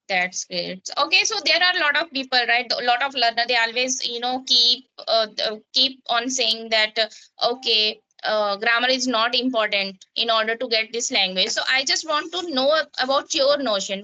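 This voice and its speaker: A woman with an Indian accent chats over an app call. Her voice sits high, and her tone is bright. She hesitates often and fills gaps with "uh" and "okay" while she asks her questions. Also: normal speed, low-quality recording. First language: Hindi. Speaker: language practice partner. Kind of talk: casual practice conversation.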